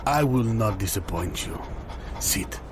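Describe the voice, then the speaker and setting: A man's voice: fairly low pitch, lively intonation, measured pace, noisy background. A man playing a mystical, solemn mountain wise man with a mercenary streak in an improvised comedy sketch. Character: mysterious mountain wise man, serene yet mercenary healer.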